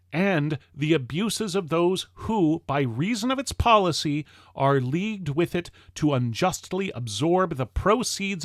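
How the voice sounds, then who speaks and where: A man reading an old-fashioned political address aloud in a formal, declamatory and emphatic manner with deliberate phrasing. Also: high-pitched, a bit bright, no room sound, studio quality. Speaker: reader of a historical political speech. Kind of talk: formal oratory, read-aloud 19th-century address.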